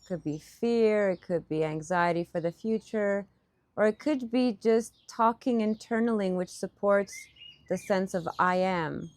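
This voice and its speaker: Woman doing guided meditation outside, really slow and gentle, normal pitch, birds chirping. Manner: unhurried, gentle phrasing with pauses. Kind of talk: guided meditation, softly instructive and partly improvised. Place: outdoors in a park.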